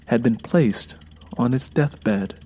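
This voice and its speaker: A man narrating a scripted audio drama in a measured, dramatic storytelling manner. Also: mid-range voice, mellow. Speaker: narrator and writer.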